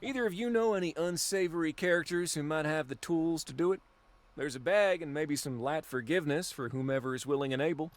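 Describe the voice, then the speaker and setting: Guy acting a folksy game lawman, blunt and kinda fed up, voice a bit high for a man. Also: brisk, close to an everyday voice. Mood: frustrated. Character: folksy, exasperated male lawman, blunt but easygoing. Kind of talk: video game dialogue.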